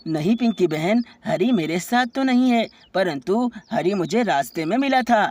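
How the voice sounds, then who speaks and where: A level-headed male bug in a bedtime cartoon, voiced by a woman. The voice is mid-pitched, calm and brotherly, that of a helpful, clever friend. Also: normal speed, lightly acted. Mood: concerned. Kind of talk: children's animated story dialogue.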